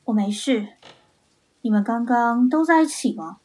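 A woman playing a cool, determined young student who questions people in a pointed, deliberate way in a mystery radio drama. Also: fairly high pitch, lively intonation, mellow, soft background music. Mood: tense, suspicious. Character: cool, determined young female student investigating her attack.

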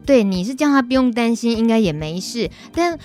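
A woman's voice: a little high, animated, a little fast, a little mellow. A woman with a Taiwanese Mandarin accent hosting a casual radio talk show in a chatty, teasing way. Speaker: radio host. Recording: music playing behind the voice. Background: background music.

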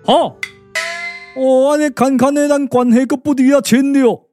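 A man's voice: very high-pitched, steady tone, moderate pace, mellow, soft background music, pitched above the performer's own voice. A man voicing a boisterous, wine-loving comic warrior in a puppet radio drama, full of exclamations. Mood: excited, jovial. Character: rowdy, jokey, wine-loving warrior immortal, comic and boastful.

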